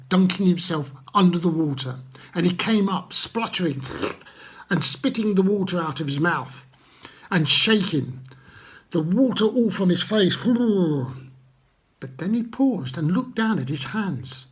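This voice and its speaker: A man telling stories to children and addressing them gently, with simple, unhurried phrasing and guiding questions. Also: high voice, expressive, husky. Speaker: children's Bible storyteller.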